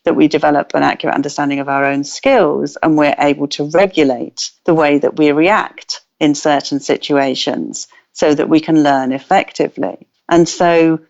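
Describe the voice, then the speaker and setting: A woman researcher giving a measured, thoughtful explanation in an interview. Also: deep voice, gravelly, darkish tone. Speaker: researcher guest. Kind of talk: interview answer. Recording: dull lo-fi audio.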